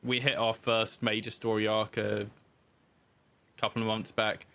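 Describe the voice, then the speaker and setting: A man's voice: fairly low pitch, level tone, a little slow, gravelly, pushed and bright. A man being interviewed as a podcast guest, explaining things in a casual, informal conversational way.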